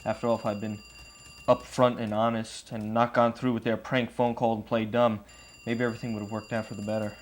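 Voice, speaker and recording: A man's voice: lowish voice, level tone, a little fast, slight background noise, lightly acted. A man playing an earnest, apologetic young man explaining himself in conversational testimony. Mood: regretful.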